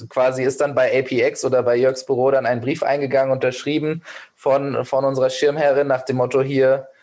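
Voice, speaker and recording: A man's voice: medium pitch, little pitch movement, brisk, darkish tone. A young man in his twenties, a startup founder, guest on a podcast, giving long earnest answers full of fillers and restarts. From Germany. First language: Persian. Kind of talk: podcast interview.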